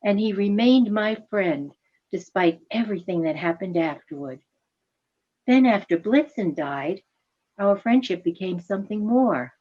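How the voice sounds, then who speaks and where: A woman's voice: medium pitch, expressive, a little slow, muffled recording. A woman reading a gracious, affectionate female reindeer in a radio play, genteel and effusive. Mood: wistful.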